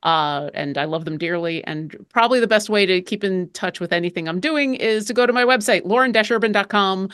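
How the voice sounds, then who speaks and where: A woman's voice: medium pitch, fairly quick, hardly any pauses, a bit bright, clean tone, dry recording, studio quality. A woman introducing herself on a panel in a chatty way, with lots of fillers. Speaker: panelist, content manager. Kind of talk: casual panel self-introduction.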